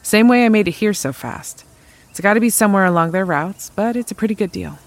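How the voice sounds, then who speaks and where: A woman's voice: mid-range voice, animated, a little fast, close to an everyday voice. A woman playing a resourceful drifter mechanic, telling of travels in a naturalistic audio drama, conversational and wry. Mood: upbeat, candid.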